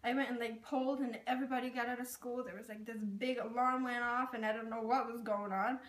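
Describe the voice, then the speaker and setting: A woman's voice: on the high side, steady tone, fast. A young woman from Burma, raised in Thailand, whose first language is Karen, casually telling personal stories with lots of "like" and "um". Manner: chatty, with many "like" and "um" fillers. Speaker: interviewee sharing her experience. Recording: roomy, distant mic.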